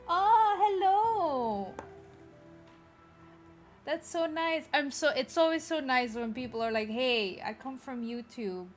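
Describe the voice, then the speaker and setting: A woman with a Flemish accent chats casually with her stream viewers, greeting newcomers. Her voice sits a little high. Faint music plays behind her. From Belgium. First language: Dutch (Flemish). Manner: warm, chatty greetings to viewers. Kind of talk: casual live-stream chat.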